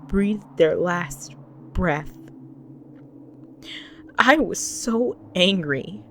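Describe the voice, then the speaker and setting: A woman playing a grave, devoted vampire wife in an intimate audio roleplay, speaking solemnly to the listener in an often breathy, whispered delivery. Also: medium pitch, a bit bright, slight background noise. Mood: anguished, vengeful.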